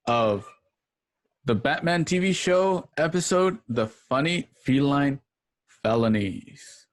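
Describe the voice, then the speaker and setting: A man's voice: a little high, no echo. A man hosting a home radio play, introducing the next section in an upbeat way. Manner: upbeat, promotional. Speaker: radio show host. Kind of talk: reading a sponsor spot and introducing.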